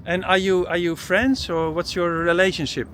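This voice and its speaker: A man's voice: high-pitched, flat delivery, normal speed, a bit bright, slight background noise. A man with a Dutch accent chatting casually and jokily as a talkative travel vlogger and interviewer. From Netherlands. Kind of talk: casual street chat. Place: outdoors by parked camper vans.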